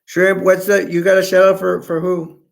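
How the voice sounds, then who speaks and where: A man hosts a live chat stream, reading out viewer comments and wandering from one thought to the next. His voice sits somewhat high and has a gravelly fry in it. It is getting hoarse as he talks. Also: flat delivery, normal speed. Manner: rambling, self-correcting, chatty. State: voice going hoarse.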